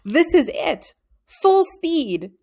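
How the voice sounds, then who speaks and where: A woman's voice: extremely high pitch, very animated, extremely slow, darkish tone, voice pushed up, exaggerated voice. A woman reading a storybook, voicing an urgent schoolboy caught up in an adventure. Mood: anxious. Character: two schoolboy friends on a secret adventure.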